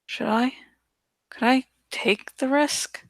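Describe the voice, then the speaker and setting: Female audiobook narrator: higher-pitched, gravelly edges, deliberate dramatic delivery of first-person fiction. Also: fairly even intonation, no echo. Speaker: audiobook reader.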